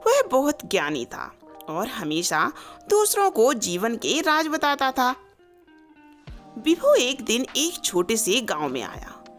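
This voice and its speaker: A woman narrates a scripted moral tale at a slow, measured pace. Her voice sits fairly high and moves in wide, animated swings of pitch, with music playing underneath. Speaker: storyteller.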